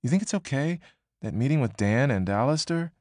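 A man's voice: medium pitch, expressive, a little fast, dry recording, clean studio sound, pitched above the performer's own voice, close to an everyday voice. A man doing a hesitant, flustered young woman. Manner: hesitant, questioning. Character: unsure young woman, new at work, easily flustered. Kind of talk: audiobook character voice.

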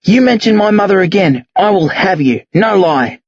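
Casting: man to play a confrontational Australian teen boy for a novel reading, husky, slangy, hot-tempered, closely recorded. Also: high voice, lively intonation, normal speed, no echo, dull audio. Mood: defiant. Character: angry accusing teenage boy, rough, foul-mouthed, defiant.

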